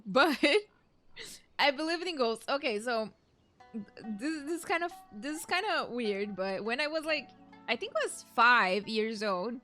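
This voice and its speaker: A young woman streamer chats casually over a video game in a high voice. She is giggly and a little hesitant in how she puts things. Faint game music sits behind her. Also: bright, forceful tone. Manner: chatty, giggly, hesitant storytelling. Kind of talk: casual let's play commentary.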